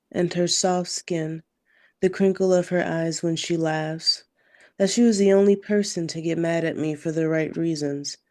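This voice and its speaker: Poet, mid-pitched and dark-toned, very unhurried, gentle reading. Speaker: poet at an open mic. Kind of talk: poetry reading. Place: online video gathering.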